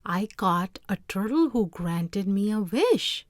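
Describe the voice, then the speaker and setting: Humble storybook fisherman, henpecked and earnest, read by a woman, lively intonation, dry close sound. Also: medium pitch, takes it slowly, dry recording. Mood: contented. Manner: earnest, plain-spoken. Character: humble, simple, henpecked fisherman, adult man. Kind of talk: read-aloud storybook character voice.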